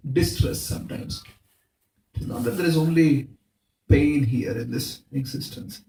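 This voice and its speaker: A man giving a spiritual talk at a temple gathering, often checking in with the audience through quick rhetorical questions. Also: a little high, a little mellow, a little hiss or hum. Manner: uses props and everyday examples. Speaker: speaker at a temple Sunday feast. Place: temple hall. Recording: echoey room, mic at a distance.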